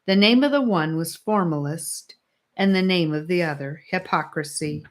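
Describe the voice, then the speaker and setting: A woman narrating an old religious allegory as an audiobook, with measured, solemn storytelling. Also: fairly low pitch. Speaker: audiobook reader.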